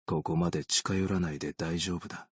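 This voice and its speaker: Deep, husky, world-weary game optician. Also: male voice, fairly even intonation, no echo, studio quality. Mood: calm, dry. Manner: measured, understated, world-weary. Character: weary, gentle, long-lived male optician, quietly melancholic. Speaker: video game character voice actor. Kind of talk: scripted game character voice lines.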